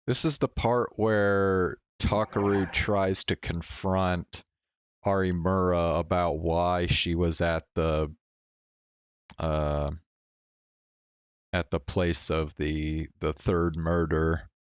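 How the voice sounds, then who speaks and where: A man's voice: sits low, flat delivery, slow pace, clean tone, no echo, dull audio. A man doing casual game commentary and reading visual novel text aloud. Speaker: game streamer. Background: game music.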